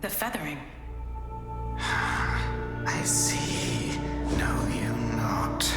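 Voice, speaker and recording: An actress playing a grave, solemn woman healer in a game cutscene, speaking in archaic, deliberate phrases. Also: extremely low pitch, heavily acted. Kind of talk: scripted game cutscene dialogue. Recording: long echoing reverb, loud music behind.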